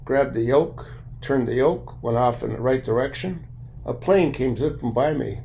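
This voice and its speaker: An older man with an American accent giving a blunt, opinionated monologue in deliberate, broken-up phrases, with a gravelly voice. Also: mid-range voice, darkish tone. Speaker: video commentator and private pilot. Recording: light background noise.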